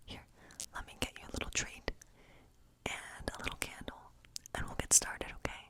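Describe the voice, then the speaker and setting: Woman, breathy whisper, ASMR role play, friendly witch, gentle, playful, coaxing. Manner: gentle, coaxing, slow and soothing. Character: friendly, playful, lonely witch living in a woodland cottage. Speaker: ASMR creator.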